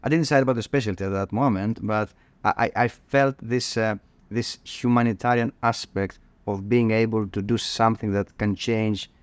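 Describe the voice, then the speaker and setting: Man, Greek accent, low smooth voice, halting interview guest, reflective, dry sound. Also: steady tone, dry recording. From northern Greece. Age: middle-aged. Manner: halting, repeats words while thinking. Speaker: podcast guest, cardiologist.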